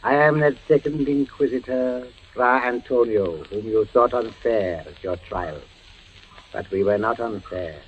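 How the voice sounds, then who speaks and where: An actor playing a cold, stern friar of the Inquisition, formal and ominous, in 1940s radio drama style. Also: male voice, medium pitch, a little slow, natural voice. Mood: cold, menacing. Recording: very muffled, faintly noisy 1940s radio recording.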